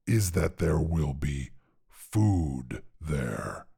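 A very gravelly, husky monster of a dinosaur, huge and carnivorous. It speaks low, with pompous, learned diction and smug self-assurance, as a character in a storybook reading. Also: male voice, sing-song, very slow, mellow, full character voice. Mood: smug, scheming. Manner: pompous, learned, formal phrasing. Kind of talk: character voice in narrated short fiction.

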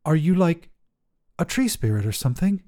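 Curious, helpful woman hiker read by a male narrator, casual storybook dialogue, raised pitch, mellow. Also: very animated, moderate pace. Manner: casual, questioning.

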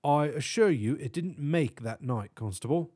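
Man putting on a fussy, higher-pitched British professor. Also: animated, moderate pace, darkish tone, breathy edge. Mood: smug explaining. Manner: fussy, prim, erudite. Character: small frail middle-aged British male professor, fussy and sly.

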